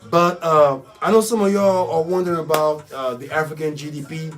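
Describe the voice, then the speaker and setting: A man with a raspy voice hosting a casual, improvised community radio show in an exclamatory hype style, repeating catchphrases. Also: on the high side, fairly even intonation, fairly quick, faint music behind. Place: radio studio.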